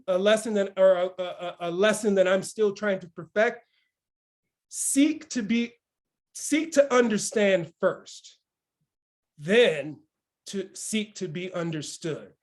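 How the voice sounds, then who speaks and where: A man around fifty hosting a morning motivational session, full of energy and often checking in with "right?". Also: high-pitched. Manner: energetic, keeps asking "right?". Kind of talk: motivational talk.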